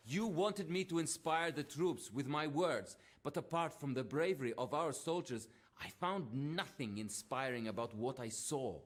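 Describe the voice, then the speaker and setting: An actor playing a brooding, disillusioned Soviet soldier turned war writer in a dramatic video game cutscene. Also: male voice, a little high, lively intonation, normal speed, hardly any pauses. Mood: weary, disillusioned. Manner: brooding, reflective.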